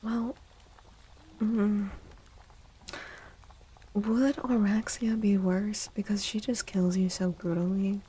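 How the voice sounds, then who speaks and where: Soft breathy lady doing asmr stream chat, mellow and half whispering, takes her time, playful, close on the mic. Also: medium pitch, level tone. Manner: playful, unhurried, repeats phrases. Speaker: livestream host.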